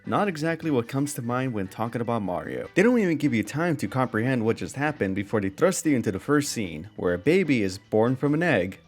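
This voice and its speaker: Animated male film reviewer over music. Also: medium pitch, a little fast, hardly any pauses. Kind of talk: casual scripted video-essay narration. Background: background music under voice-over.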